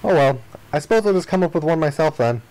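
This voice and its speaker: A man reading the narration and dialogue of a visual novel aloud in an expressive style. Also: a little high, quick pace, rough recording.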